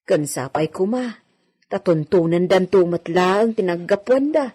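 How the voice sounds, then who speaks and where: An actress playing a devoted, worried woman servant in an Ilocano radio drama, with a husky voice. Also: on the low side, animated, measured pace, warm tone. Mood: worried, musing.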